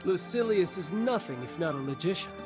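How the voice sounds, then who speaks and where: A woman's voice: on the low side, animated, fairly quick, natural voice. A woman voicing a grandiose divine emissary in a video game, declaiming in lofty, archaic, theatrical phrases. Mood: assured. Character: grandiose divine emissary Primal, theatrical, exuberant, young-sounding. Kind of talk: scripted video game dialogue. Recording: orchestral music behind the voice.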